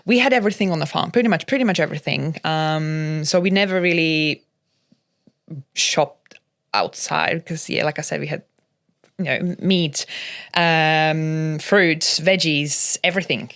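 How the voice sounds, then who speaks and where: A woman's voice: deep voice, unhurried, fairly bright tone. A woman from Slovakia with a Slovak accent, a native Slovak speaker, telling her personal story casually as a podcast guest.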